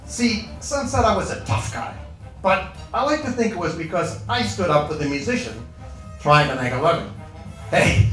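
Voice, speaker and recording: A man's voice: high-pitched, fairly bright tone. An American man playing a brash, proud old Chicago union boss in a stage monologue, punchy and colloquial. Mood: defiant, boastful. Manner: colloquial, punchy, self-justifying storytelling. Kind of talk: first-person dramatic monologue on stage. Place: echoey event hall before an audience. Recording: echoey hall, distant mic, music.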